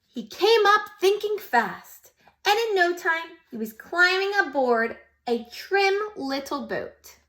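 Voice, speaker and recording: A woman preschool teacher reading a picture book aloud to young children, expressive and pausing to ask them questions. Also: very high-pitched.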